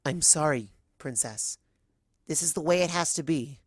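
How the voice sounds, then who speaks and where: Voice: mid-range voice, normal speed, dry recording, clean studio sound, pitched above the performer's own voice, natural voice. A performer voicing a determined, anxious young female unicorn with an urgent, emphatic manner in a story reading. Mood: resolute.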